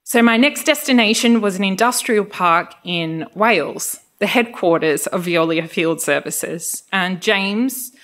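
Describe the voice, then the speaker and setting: A woman researcher with an Australian accent over a Greek first language, giving a measured academic talk with occasional fillers. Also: mid-range voice, lively intonation, fairly bright tone. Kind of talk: festival lecture, partly read. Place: lecture venue.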